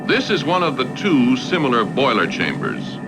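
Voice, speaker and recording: Animated male film narrator over music. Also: medium pitch, moderate pace, low-quality recording. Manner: formal, expository. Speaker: documentary narrator. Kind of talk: scripted industrial film narration. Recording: archival film with loud music. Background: loud music.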